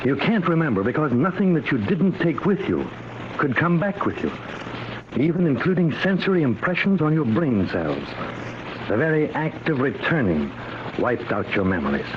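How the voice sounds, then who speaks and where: A man's voice: a little high, sing-song, normal speed. A man playing a kindly, solicitous fatherly scientist in an old radio drama. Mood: fatherly, explanatory. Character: fatherly male professor, anxious, kindly scientist. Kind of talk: 1940s-50s American radio drama acting. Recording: muffled, noisy vintage radio recording.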